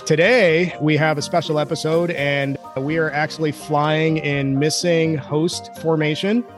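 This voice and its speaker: A man's voice: fairly high pitch, steady tone, measured pace, over music. A man hosting a business podcast in an upbeat, jokey way, calling himself a nerd. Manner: enthusiastic, jokey, self-described nerd. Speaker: podcast host.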